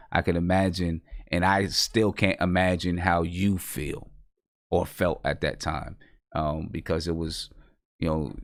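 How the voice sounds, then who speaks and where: A man hosting an interview, talking in a halting way full of fillers. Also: sits low, dry recording, clean studio sound. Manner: halting, many "you know" fillers. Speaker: radio show host. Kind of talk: casual interview chat.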